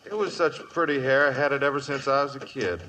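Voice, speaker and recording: Man playing a sharp-voiced, grumbling cowboy sidekick. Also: mid-range voice, steady tone, fast, natural voice, low-quality recording. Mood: wry, grumbling. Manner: sardonic, complaining. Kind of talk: old radio western acting. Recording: faint noise, old radio recording.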